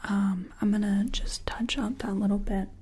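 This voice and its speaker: A woman whispering softly and gently in a breathy voice, reassuring the listener in a close-up ASMR personal attention roleplay as a makeup artist. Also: mid-range voice, level tone, moderate pace. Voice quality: soft, breathy, mostly whispered. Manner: gentle, reassuring, murmured repetitions.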